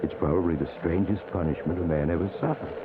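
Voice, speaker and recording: Guy doing mysterious traveler host, super deep voice, confiding, old radio storytelling, tinny and really noisy. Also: quick pace, natural voice. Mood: sly, confiding. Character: mysterious male train traveler telling eerie tales. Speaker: host and narrator.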